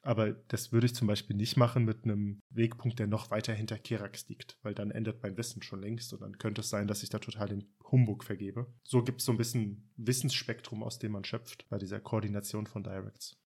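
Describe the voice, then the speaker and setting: A man's voice: fairly low pitch, flat delivery, a little fast, few pauses, darkish tone, breathy edge. A man who works as an air traffic controller, explaining his work in casual podcast chat with hedged, practical detail.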